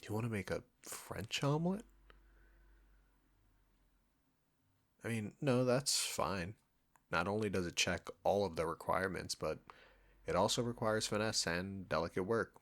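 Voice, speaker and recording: A man's voice: sits low, fairly bright tone. A man playing a cocky, condescending chef who teases a longtime rival, in an intimate roleplay audio drama spoken to the listener. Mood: irritated banter. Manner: smug, bantering, teasing.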